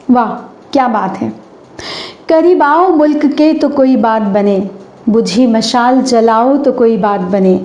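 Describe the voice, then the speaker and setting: Hoarse woman reciting anchoring lines. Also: fairly high pitch, a little mellow, echoey room, highs cut off. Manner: formal and declamatory, slowing for verses. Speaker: channel host demonstrating a stage anchoring script. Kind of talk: recited stage anchoring with poetic couplets.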